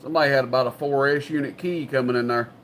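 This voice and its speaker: A man's voice: medium pitch, fairly even intonation, quick pace, background noise. A man with a Southern US accent, chatting casually and folksily as he hosts an evening on CB radio. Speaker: CB radio operator and livestream host. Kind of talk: casual chat over CB radio.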